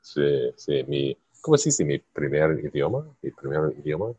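A man hosting a casual chat in Spanish as a learner whose first language is English, halting and searching for words. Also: rumbling low, big pitch swings, dark tone, slightly rough, no room sound, highs cut off. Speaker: podcast host.

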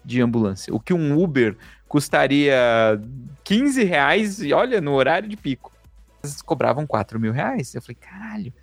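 Lively storytelling man, slightly high voice. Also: normal speed, creaky, husky, no room sound, soft background music. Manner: lively, emphatic, swearing, rhetorical questions. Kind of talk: storytelling monologue.

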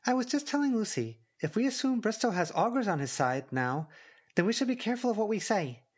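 Man doing a very high, breezy teenage girl. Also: very animated, brisk, slightly rough, heavily acted. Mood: breezy, cautioning.

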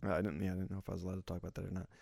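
Creaky-voiced man hosting a joking chat. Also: low-pitched, monotone, rapid-fire, a little mellow, a little hiss or hum. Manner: laughs often, joking and loose. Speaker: podcast host. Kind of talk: casual chat over beers.

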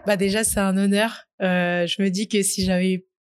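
A woman's voice: mid-range voice, level tone, normal speed. A woman in her twenties from French Guiana, answering interview questions in a hesitant, casual way with many fillers. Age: 20s. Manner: hesitant, many "euh" and "du coup", laughs easily. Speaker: podcast guest.

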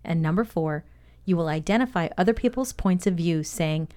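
A woman's voice: on the low side, moderate pace, warm tone. A woman co-hosting a podcast and reading a scripted lesson on leadership. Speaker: podcast co-host and reader.

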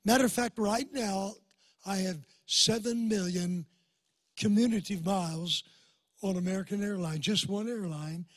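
An eighty-five-year-old man with an old, hoarse voice, preaching to a congregation as a guest pastor in a folksy way and calling for amens. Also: sits high, unhurried, no room sound. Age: 80s. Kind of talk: sermon with personal stories. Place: church sanctuary before a congregation.

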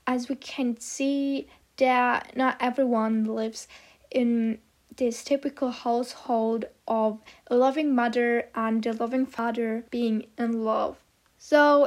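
A woman with a high, light voice reviews a TV series in a measured, unhurried monologue. Her phrasing is earnest and a little stiff, as if carefully prepared. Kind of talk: scripted-sounding review monologue.

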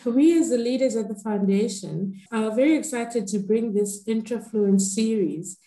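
A woman who leads a foundation answers in a measured, earnest and formal way. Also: on the high side, warm tone. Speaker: foundation leader. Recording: roomy echo.

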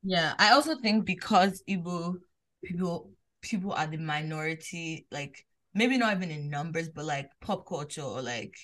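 A young woman from Nigeria chats as a podcast co-host, her voice sitting a little low. She talks animatedly, jumping up in pitch and volume when something excites her. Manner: animated, quick swings in loudness and pitch. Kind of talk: casual chat.

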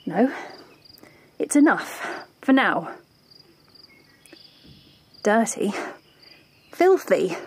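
A woman playing a wary, reluctant escaped parrot in an audio drama, guarded, anxious and halting. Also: fairly high pitch, darkish tone, slight background noise. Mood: weary, shaken. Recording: music behind the voice.

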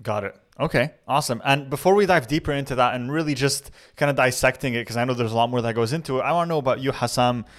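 A man hosting a podcast interview, asking questions in an upbeat, energetic way. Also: mid-range voice, fast, hardly any pauses. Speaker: podcast host. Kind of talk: casual interview.

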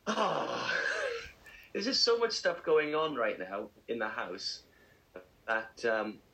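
A man co-hosting a casual podcast, chatty and animated, laughing often as he tells stories. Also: medium pitch, slow, vocal fry, poor audio. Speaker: podcast co-host. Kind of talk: casual chat.

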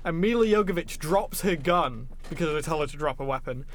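A man with a fairly high-pitched voice chats casually as a podcast co-host. He is an enthusiastic, opinionated fan who talks films in an informal way. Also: lively intonation, brisk, slight background noise. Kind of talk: casual film discussion.

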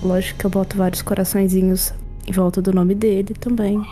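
A woman at a tabletop game, describing her actions playfully. Her voice is warm and mellow, with faint music in the background. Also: medium pitch, little pitch movement, brisk. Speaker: player.

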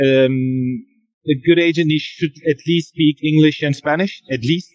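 A man answering interview questions from outdoors over a remote call, measured and a little repetitive. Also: a little high, steady tone, a little mellow, lo-fi sound. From Germany. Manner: measured, repeats himself, light fillers. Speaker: podcast guest, football agent.

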